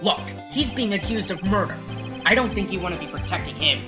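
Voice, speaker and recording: A man's voice: sits high, animated, a little fast, music in the background. A man voicing an exasperated, determined young defense lawyer in a video game fan dub, with wry asides and emphatic outbursts. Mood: determined, forceful.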